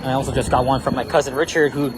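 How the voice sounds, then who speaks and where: A man's voice: mid-range voice, fairly even intonation, very fast. Chatty man walking a city street. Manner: chatty, rambling, restarts phrases often. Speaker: walking tour vlogger. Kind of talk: casual walking commentary. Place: busy city street outdoors. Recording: street traffic noise behind.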